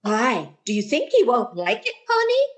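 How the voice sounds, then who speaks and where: A woman's voice: on the high side, huge pitch swings, moderate pace. A woman playing a fussy, well-meaning, scatterbrained landlady in a vintage radio sitcom style. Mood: puzzled, fussy.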